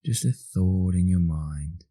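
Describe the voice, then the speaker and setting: Australian man, meditation instructor. Deep, very soft dark tone, soothing delivery with long pauses. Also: no echo, studio quality. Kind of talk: guided meditation narration.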